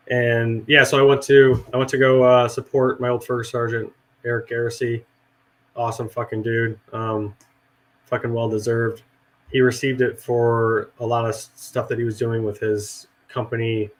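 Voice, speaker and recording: A middle-aged man with a hoarse, raspy voice from a cold, rambling and swearing casually in a relaxed chat. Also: lowish voice, low-quality recording. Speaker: veteran guest and actor.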